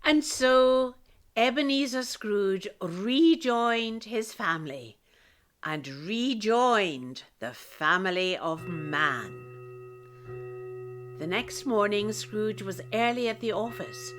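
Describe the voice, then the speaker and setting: A woman's voice: mid-range voice, animated, slow. A woman reading scripted storybook narration for a radio play. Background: loud music.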